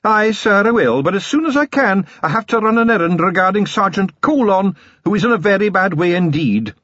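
A man voicing a tiny, fierce and sly male gnome constable with a high, lilting Scottish brogue. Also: lively intonation, brisk, fairly bright tone, no echo, lo-fi sound. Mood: sly, earnest.

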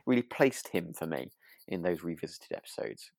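A man co-hosting a podcast in casual chat, speaking with gentle enthusiasm and often repeating words as he feels for the next phrase. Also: low voice, very animated, normal speed, gravelly, a crowd in the background. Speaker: podcast co-host. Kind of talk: casual chat about a radio drama.

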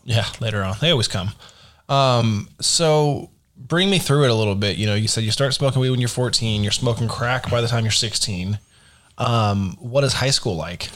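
A man's voice: mid-range voice, normal speed, a bit bright. A man hosting a studio podcast interview, friendly and affirming, laughing easily as he asks about his guest's story. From born in Dallas. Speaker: podcast host. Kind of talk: casual interview.

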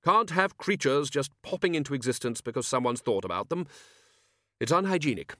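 A man plays a blustering senior wizard in a storybook. He is brisk, pompous and forthright, with the pitch lifted. The sound is dry. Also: expressive, bright, forceful tone, dry recording. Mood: brisk disapproval.

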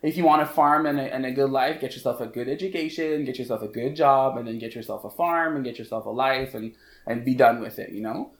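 A man's voice: mid-range voice, fast, roomy sound. A man in his thirties with a slight French Canadian accent, a podcast guest chatting casually, laughing often and poking fun at himself. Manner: playful, laughs often, self-mocking. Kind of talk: casual chat.

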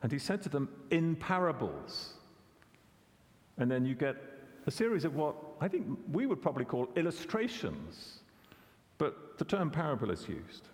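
A man's voice: fairly high pitch, a little mellow, long reverb tail. A man preaching a sermon, conversational and questioning, often repeating words as he corrects himself. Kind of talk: expository sermon.

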